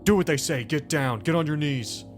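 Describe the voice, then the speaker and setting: A man's voice: on the high side, normal speed, pitched above the performer's own voice, close to an everyday voice. A man voicing an armed lawman who barks short, commanding arrest orders in a tabletop game. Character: shotgun-wielding lawman barking arrest orders. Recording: music playing behind the voice.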